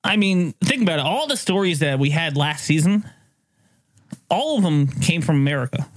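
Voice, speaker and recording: A man's voice: on the high side, expressive, moderate pace, no echo. A man hosting a podcast in casual chat, telling stories in a loose, profane way. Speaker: podcast host.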